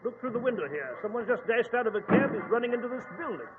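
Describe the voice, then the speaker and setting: A genteel British doctor and storyteller in a 1940s detective radio play. His voice sits high, with courteous, old-fashioned phrasing. It comes through a narrow, reverberant, faintly hissy vintage broadcast. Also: animated, fast talker. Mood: alarmed. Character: Doctor Watson, genteel English gentleman recounting detective adventures.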